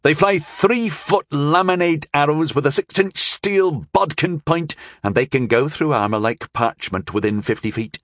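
A man's voice: on the high side, normal speed, few pauses, fairly bright tone, no room sound, studio quality, pitched above the performer's own voice, close to an everyday voice. A man voicing a proud, saucy frontier noblewoman in an audiobook, speaking with confident defiance.

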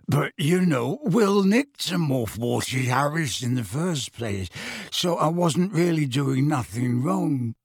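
Shady storybook crook spinning yarns, rough talker, swoopy delivery, close dry sound. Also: male voice, fairly high pitch, lively intonation, takes it slowly, no room sound, full character voice. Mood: wheedling. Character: gruff, shifty, boisterous crook telling a yarn.

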